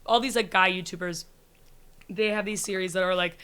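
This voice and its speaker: A woman with a naturally raspy yet bright, forward voice, chatting loosely on a podcast. She rushes through her thoughts with lots of filler words and restarts. She breaks into laughter often. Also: lowish voice, fairly even intonation. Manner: talks in a rush with many likes and restarts, laughing often. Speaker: comedian guest. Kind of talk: casual podcast chat.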